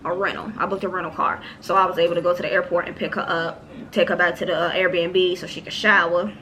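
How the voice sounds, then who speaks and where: A woman in her late twenties telling a personal story to her viewers in a casual, expressive way. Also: lowish voice, slight background noise. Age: late 20s. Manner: chatty, expressive, talks straight to her viewers. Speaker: podcast host.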